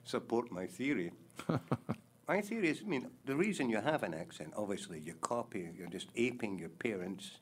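An older man with a Scottish accent explaining things deliberately in a casual chat, the host's father. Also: on the low side, animated, husky. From Scotland.

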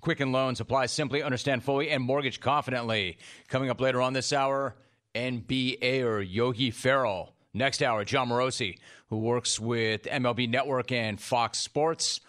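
A man hosting a sports radio show from a studio. He speaks in a smooth, measured broadcaster cadence at a mid pitch. He reads sponsor lines and eases into interview questions. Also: steady tone, fairly bright tone.

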